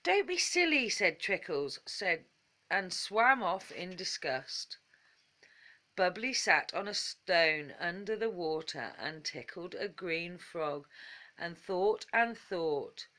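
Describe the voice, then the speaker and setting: A woman reading a children's story aloud as a calm, gentle bedtime storyteller. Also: lowish voice. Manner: gentle, unhurried.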